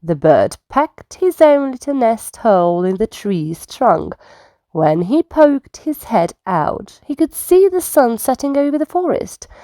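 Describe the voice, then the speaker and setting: A woman reading bedtime stories aloud to young children in an expressive, unhurried storytelling manner. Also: on the high side, no echo.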